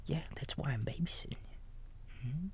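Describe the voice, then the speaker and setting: A man playing a kindly raccoon babysitter in a bedtime ASMR roleplay, whispering softly and gently reassuring a small child in a folksy, halting way. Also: fairly high pitch, close to an everyday voice. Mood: soothing, playful. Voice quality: soft, breathy whisper. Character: kindly big-brotherly male raccoon babysitter.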